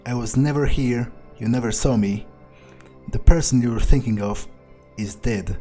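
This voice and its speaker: An unhurried, mellow male voice of a gamer as a jaded, wary vampire thinking aloud. He mutters wryly to himself through a game playthrough, with faint music behind. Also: mid-range voice, natural voice. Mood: jaded, musing. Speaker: gamer role-playing in character. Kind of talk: in-character game playthrough commentary.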